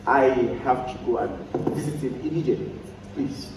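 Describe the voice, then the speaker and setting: An actor playing a concerned, commanding statesman who addresses his aide gravely and with measured authority in a stage play. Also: medium pitch, lively intonation, close to an everyday voice. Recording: echoey theatre stage, distant, noisy.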